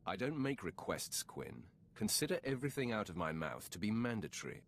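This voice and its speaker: Menacing sci-fi dark lord, real low and gravelly, curt and bossy, game cutscene dialogue. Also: male voice, big pitch swings, fairly quick. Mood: stern, imperious. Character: cold, commanding Sith warrior lord. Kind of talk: scripted video game dialogue.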